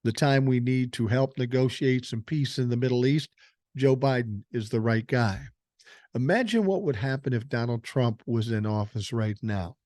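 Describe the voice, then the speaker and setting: Older man, blunt and profane, mellow voice, dry close sound. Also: medium pitch, moderate pace, no echo. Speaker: podcast host. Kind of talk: political commentary, reading news aloud.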